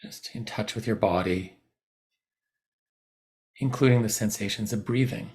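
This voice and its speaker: A man guiding a body scan meditation in a soothing, unhurried manner, leaving long pauses between short phrases. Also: fairly low pitch, a little mellow. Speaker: meditation guide. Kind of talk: guided body scan meditation, unscripted instruction.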